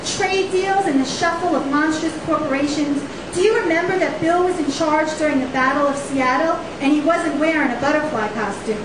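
A woman in her thirties giving a dramatic poetry reading, with emphatic, deliberate phrasing and rhetorical repetition. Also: high voice. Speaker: poet reading own work. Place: small indoor poetry venue on stage. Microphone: stand microphone, picked up by camera. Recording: echoey live room, distant camera, faint hiss.

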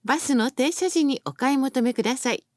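A woman's voice: high voice, brisk, a little mellow, dry recording. A woman reading scripted bus announcements as a recorded announcer, in a formal, polite and carefully enunciated manner.

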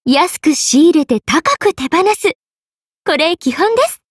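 A woman's voice: very high-pitched, sing-song, measured pace, dry recording, studio quality, full character voice. A woman voicing a playful, money-loving young half-spirit merchant sorceress in an anime game, with a teasing, polite lilt. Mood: cheerful, businesslike. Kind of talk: Japanese anime game character voice acting.

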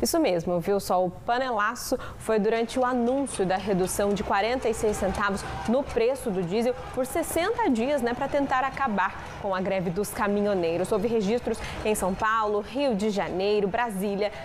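A woman reads scripted news with a steady, formal delivery. She speaks from a studio into a lapel mic. The feed carries a clear background hiss. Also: medium pitch, normal speed, few pauses. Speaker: news presenter.

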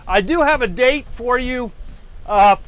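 A man's voice: very high voice, lively intonation, unhurried, a bit bright, low-quality recording. A man, hosting a live painting stream from a cluttered indoor studio, talking on a lapel mic while walking on a treadmill, meandering and self-deprecating, with many fillers and restarts. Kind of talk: rambling live-stream chat.

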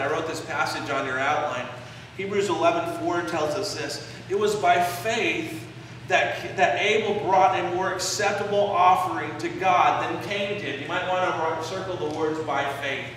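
A man in his forties preaching a sermon in a church sanctuary, explaining a passage with pauses on key phrases, recorded by a camera out in the pews. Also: on the high side, fairly bright tone, a little hiss or hum. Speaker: pastor. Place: church sanctuary, indoors, medium-sized. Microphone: far camera in the pews. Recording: echoey church from far off.

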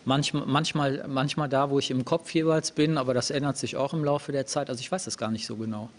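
A middle-aged man with a medium-pitched voice speaks casually on a talk show. He sounds hesitant and musing, feeling his way through his answers. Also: steady tone, fast. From Aachen, Germany. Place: TV studio, indoors.

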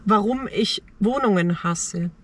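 A middle-aged woman talking reflectively in short, halting phrases in a personal vlog, recorded inside a parked car. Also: medium pitch. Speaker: travel vlogger.

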